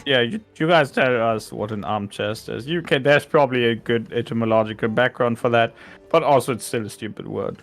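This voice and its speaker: A man's voice: mid-range voice, a little fast, soft background music. A man translating and commenting in a casual chat as co-host. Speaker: co-host translating game voice lines.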